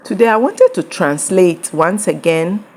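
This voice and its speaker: A woman's voice: lowish voice, animated, measured pace, darkish tone. A woman hosting her own channel, carefully translating an interview into English; Ga is not her first language.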